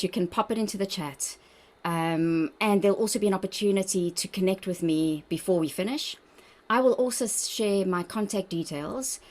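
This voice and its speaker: A woman with a South African accent, a dietitian leading an online wellbeing workshop on a video call in an encouraging, chatty manner. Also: mid-range voice. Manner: warm, encouraging, chatty with laughs. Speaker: workshop presenter, registered dietitian.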